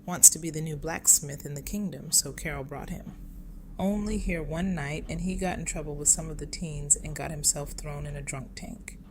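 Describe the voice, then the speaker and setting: A woman playing a brisk, authoritative leader in an audio drama. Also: low voice. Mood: matter-of-fact. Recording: clear background noise.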